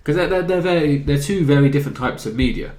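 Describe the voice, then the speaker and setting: A man's voice: a little high, fast talker, darkish tone. A man co-hosting a podcast, talking informally in a casual discussion and feeling his way through his phrasing. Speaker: podcast co-host. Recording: echoey room.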